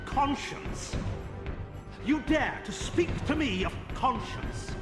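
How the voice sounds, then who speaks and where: An actor playing a scornful ancient male vampire lord in a video game, with a haughty, theatrical, measured delivery. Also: very high-pitched, expressive, heavily acted. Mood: scornful, indignant. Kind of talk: dramatic video game acting. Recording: echoing game audio under loud music.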